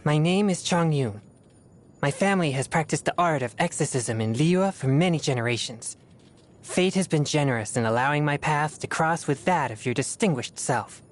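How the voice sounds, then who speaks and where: A woman playing a solemn, disciplined teenage boy exorcist in a video game, with formal, measured speech. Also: low-pitched, natural voice. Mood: solemn, composed. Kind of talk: scripted video game character voice lines.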